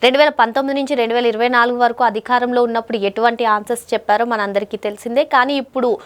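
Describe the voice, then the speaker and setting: High-voiced woman interviewer. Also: steady tone, very fast. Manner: long multi-part questions. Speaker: interviewer, host. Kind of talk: news interview questioning.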